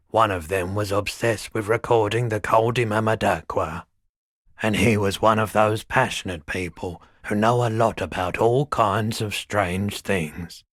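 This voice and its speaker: A man voicing a mild, wry, world-weary pawn shop keeper in a video game, soft-spoken, polite and reflective. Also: on the low side, no room sound, clean studio sound. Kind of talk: game character dialogue.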